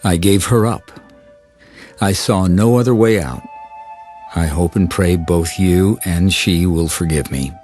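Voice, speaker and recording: A tender, measured man reading a letter in an audio drama. His voice sits very low and he takes his time. Music plays underneath. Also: darkish tone. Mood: solemn, tender. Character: gentle man reading a dead woman's confession aloud. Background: sentimental theme music.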